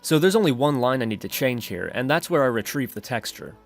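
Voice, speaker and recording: A man's voice: medium pitch, lively intonation, fairly quick. Man narrating a coding tutorial over music. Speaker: tutorial host. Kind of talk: instructional screencast narration.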